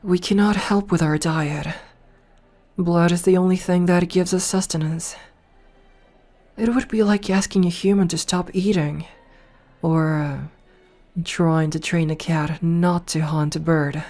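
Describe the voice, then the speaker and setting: A voice actress playing a dominant, flirtatious noble vampire princess in a soft, slow ASMR roleplay, recorded close to the mic. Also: female voice, fairly low pitch, steady tone, natural voice. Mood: musing. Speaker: voice actor. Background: faint music.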